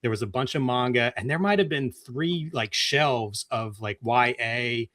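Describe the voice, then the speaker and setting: A man's voice: medium pitch, unhurried, a bit bright, dry recording. Middle-aged male interviewer, mid-range voice, dry close recording, thoughtful conversational delivery. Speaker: interviewer, co-host. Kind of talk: casual discussion.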